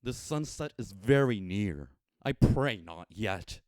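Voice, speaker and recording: A man's voice: fairly low pitch, animated, slow, a little mellow, dry recording. A man reading as a grieving, pleading aging husband.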